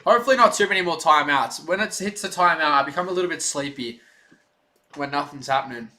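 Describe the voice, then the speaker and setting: A young man streaming his own play-by-play as a fan, chatty and excited, with a voice going hoarse. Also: fairly high pitch, fast. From Australia. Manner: excited rooting fan, loud on big plays, chatty in lulls. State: losing his voice. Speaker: stream host.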